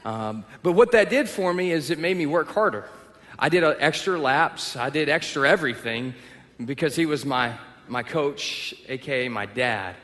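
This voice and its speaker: Texan pastor in an echoing hall. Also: male voice, on the high side, animated, moderate pace, fairly bright tone. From Texas. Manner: personal storytelling with self-deprecating humor. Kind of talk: sermon. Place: church auditorium. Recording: long reverb of a large hall.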